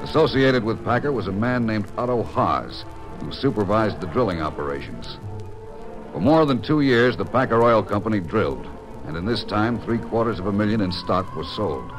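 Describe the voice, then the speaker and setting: A man's voice: lowish voice, very animated, moderate pace, poor audio. A man reading scripted narration for a vintage radio crime drama. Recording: old radio broadcast recording. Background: dramatic music.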